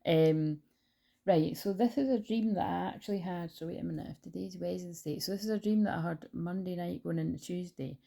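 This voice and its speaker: Woman, mellow dark tone, rambling with fillers, spiritual vlog host, phone mic. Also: fairly low pitch, brisk, gravelly. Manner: rambling, full of fillers and restarts. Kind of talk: casual talk to camera. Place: at home beside her dog. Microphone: phone held in hand.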